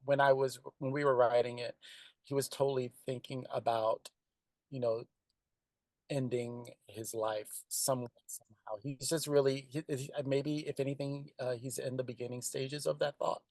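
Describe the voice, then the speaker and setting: A man answering questions as a screenwriter, speaking conversationally in a thoughtful, halting way. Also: medium pitch, fairly even intonation, a little mellow, dry recording.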